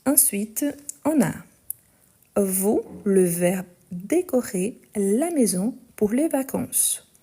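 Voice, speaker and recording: A woman teaching a live online French lesson, speaking slowly and carefully, repeating each sentence and spelling out the verb endings. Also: mid-range voice, animated, dark tone, breathy edge.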